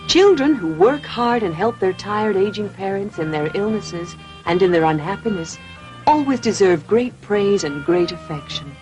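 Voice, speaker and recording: A kind, gracious cartoon fairy played by a woman, with a medium-pitched voice. She speaks gently and formally, as if bestowing praise, over faint music. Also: expressive, normal speed, close to an everyday voice, poor audio. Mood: tender, approving. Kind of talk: classic cartoon dub acting.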